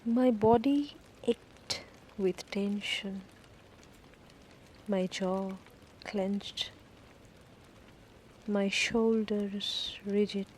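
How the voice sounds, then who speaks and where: A woman narrates a scripted sleep meditation at a medium pitch. Her delivery is extremely slow and deliberate, broken into short phrases with long pauses. Soft rain ambience sits faintly behind her voice. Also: fairly even intonation, slight background noise. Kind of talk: guided sleep meditation, first-person scripted story. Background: falling rain.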